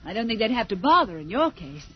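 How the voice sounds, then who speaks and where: A woman's voice: on the high side, sing-song, fast. An actress playing an indignant, defiant captive woman, earnest and urgent, in an old radio drama. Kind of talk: 1950s radio drama acting. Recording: muffled, worn old radio recording.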